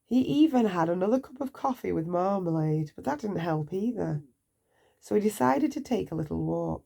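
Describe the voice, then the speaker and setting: A woman narrating a children's bedtime story aloud, with deliberate, expressive phrasing for young listeners. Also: fairly low pitch, vocal fry.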